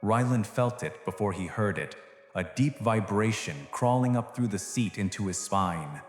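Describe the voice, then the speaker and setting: A man's voice: fairly low pitch, animated, moderate pace, roomy sound, long reverb tail. A man reading scripted, dramatic science fiction narration as the narrator of an audio drama. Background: music.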